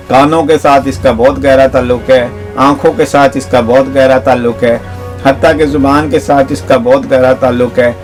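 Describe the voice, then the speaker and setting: Male health advisor, earnest list-driven delivery, faint music underneath. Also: medium pitch. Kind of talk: instructive health talk to camera.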